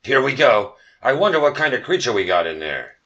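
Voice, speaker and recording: A man's voice: mid-range voice, sing-song, fairly quick, pushed and bright, highs cut off. A man playing a cocky, commanding starship captain with a swaggering delivery in a sci-fi audio comedy.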